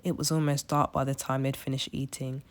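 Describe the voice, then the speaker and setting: Woman storyteller, pretty low voice, reading a kids book out loud. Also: level tone, brisk. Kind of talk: scripted children's story read-aloud.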